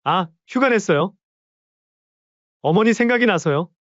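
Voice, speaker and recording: Guy doing a sucking-up grown son, kinda high voice, muffled lo-fi audio. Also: expressive, a little slow, a bit bright, no echo. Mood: ingratiating. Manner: ingratiating, overly polite. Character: younger son, awkward and falsely sweet.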